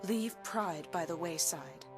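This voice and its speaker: An actress playing a stern, haughty fortune teller in a video game, speaking in lofty, scolding, formal phrases. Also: female voice, on the low side, slow pace, gravelly, over music. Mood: grave, urging. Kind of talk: dramatic video game cutscene acting.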